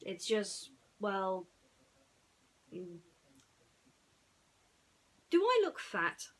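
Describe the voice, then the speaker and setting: A woman's voice: mid-range voice, sing-song, extremely slow, fairly bright tone, heavily acted. Storybook piggy bank played by a woman: male toy, glum, shy, self-conscious about himself. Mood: shy, worried.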